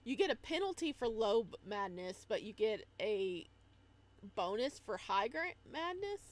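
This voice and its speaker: A woman with a fairly high voice hosts a casual live game stream. She reads on-screen text aloud, sometimes haltingly, and mixes in chatty comments for her viewers. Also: big pitch swings.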